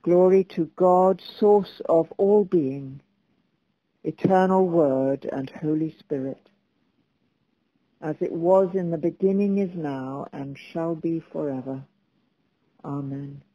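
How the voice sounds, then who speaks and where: Slow, hushed woman leading prayer. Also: low-pitched, phone-quality sound. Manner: slow, calm and measured. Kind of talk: slow liturgical prayer and reading.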